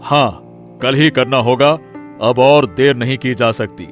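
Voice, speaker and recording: Cartoon village villain boss man acting, bossy, scheming, threatening, mid pitch, bit of music. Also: measured pace, natural voice. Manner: commanding, threatening. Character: scheming, menacing village strongman, greedy land grabber.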